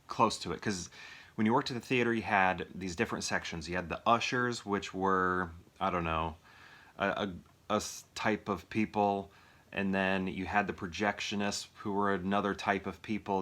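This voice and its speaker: A man with a fairly low voice and a bright, crisp-edged tone talks casually to his viewers. He rambles through an impromptu movie review, thinking aloud and often putting questions to himself as he goes.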